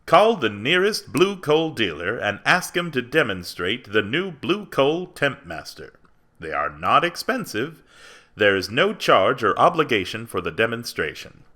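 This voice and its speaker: An actor playing an earnest 1940s radio announcer, reading a commercial with emphatic salesmanship. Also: male voice, mid-range voice, sing-song, measured pace, pushed and bright, full character voice. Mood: earnest, persuasive. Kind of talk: 1940s radio advertisement read.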